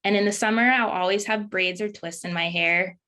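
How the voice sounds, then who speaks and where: A woman panelist tells a story at a hesitant pace, filling pauses with ums and small laughs. Her voice is bright and forceful. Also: medium pitch. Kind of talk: candid panel talk.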